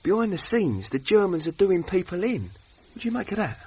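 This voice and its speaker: High-voiced working-class British boxer. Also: male voice, very animated, moderate pace, dark tone, slightly rough, heavily acted. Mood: wary, casual. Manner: colloquial, terse. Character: plain-spoken working-class British boxer, young, wary, 1930s. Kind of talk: radio drama acting. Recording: dull radio recording, faint background noise.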